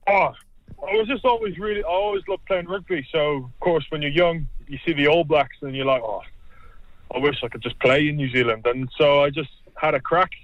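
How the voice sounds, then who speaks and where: A man's voice: fairly high pitch, brisk, no echo. Irish guy in his twenties chatting loose, a bit muffled, dry sound. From Ireland. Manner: relaxed, rambling, repeats himself. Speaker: rugby player, guest. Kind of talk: casual interview chat.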